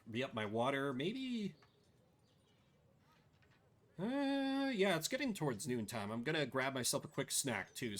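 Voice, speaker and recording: A man's voice: medium pitch, fairly bright tone. A man chatting casually to viewers as he streams a video game playthrough.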